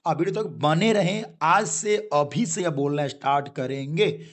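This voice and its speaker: A young man with an Indian accent takes learners through a basic English lesson. His voice sits fairly high. He checks in often and draws out each example sentence slowly and deliberately. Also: soft background music. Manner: explains slowly, repeats "okay guys", drawls example sentences. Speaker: English teacher.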